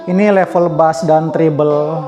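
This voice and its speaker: A man's voice: a little high, steady tone, unhurried, over music. A man giving a casual spoken product review and audio demo.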